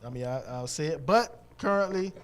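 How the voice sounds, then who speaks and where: A slangy, laid-back man with a fairly high voice hosts a live panel from a wings restaurant. He talks casually and keeps restarting his sentences. There is a faint hiss behind him. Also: animated, moderate pace. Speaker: podcast host ranking high school basketball teams.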